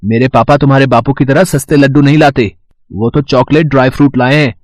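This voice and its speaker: A man's voice: mid-range voice, fairly even intonation, a little fast, no room sound, clean studio sound, natural voice. Man playing a snobbish, boastful rich schoolboy. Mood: scornful. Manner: haughty, sneering.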